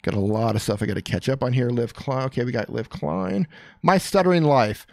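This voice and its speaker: A man's voice: mid-range voice, a little fast, vocal fry, no room sound, studio quality. A man hosting a live stream, giving casual, upbeat coaching advice on channels and reading viewer comments aloud. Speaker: YouTube coach reviewing channels.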